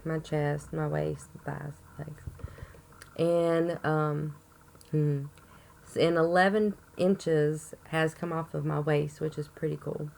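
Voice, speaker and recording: A woman with a hoarse voice from a chest cold, rambling chattily to camera in a casual update video with plenty of ums. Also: on the low side, a little hiss or hum. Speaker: vlogger.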